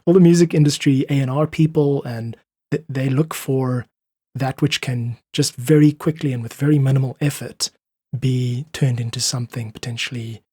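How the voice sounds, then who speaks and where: Husky-voiced South African man talking emphatically. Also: medium pitch, steady tone, moderate pace, mellow, no echo, clean studio sound. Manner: emphatic, persuasive, informal. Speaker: musician, podcast guest. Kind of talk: conversational interview, opinionated monologue.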